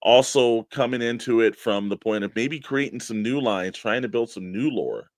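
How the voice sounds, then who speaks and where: Dude cohosting a show, mid pitch, rambles along casually, dry sound no room. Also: male voice, moderate pace, dry recording. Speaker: co-host of a toy-collecting show. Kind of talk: casual discussion.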